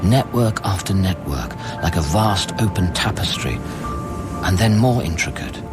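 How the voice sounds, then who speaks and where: Haunted scientist, deep voice, brooding radio drama narration, measured and literary, prominent music underneath. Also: male voice, expressive. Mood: awed, solemn. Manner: measured, brooding, literary, sometimes dropping to a whisper. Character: haunted male scientist recounting eerie events aboard space station. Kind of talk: dramatic first-person radio play narration.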